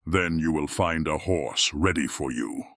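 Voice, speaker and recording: Male actor as grim fairy-tale beast, very low voice, stern, commanding, formal, dry close sound. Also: a little slow, fairly bright tone, no room sound, full character voice. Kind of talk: dramatized fairy tale audiobook.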